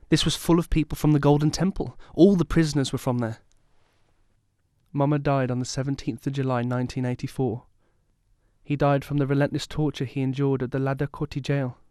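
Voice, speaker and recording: A male actor as a haunted survivor in an audio drama. He tells of his traumatic youth in a solemn, measured way, with a medium-pitched voice. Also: darkish tone, no room sound, studio quality, close to an everyday voice. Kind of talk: scripted first-person drama narration.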